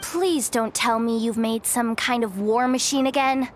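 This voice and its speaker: Woman as a high-voiced, no-nonsense girl sidekick. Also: steady tone, measured pace, close to an everyday voice. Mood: exasperated. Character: wary, exasperated, no-nonsense young female companion. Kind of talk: anime-style video game voice acting. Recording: clear background ambience noise.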